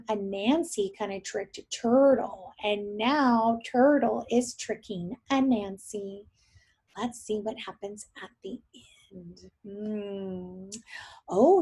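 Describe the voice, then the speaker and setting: A woman teacher reading a story aloud to young children, expressive and often thinking aloud with questions for her listeners. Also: on the high side, husky.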